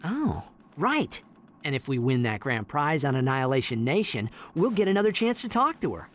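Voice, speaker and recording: Casting: boyish game hero, slightly high and animated, wry and casual, over noisy ambience. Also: male voice, normal speed. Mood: eager. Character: casual, plucky young male space-adventure hero. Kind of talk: cartoonish video game acting.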